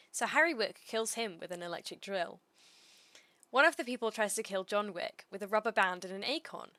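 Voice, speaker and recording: A woman streamer with a dry, understated delivery, reading aloud and chatting casually in a deadpan way. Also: mid-range voice, no room sound.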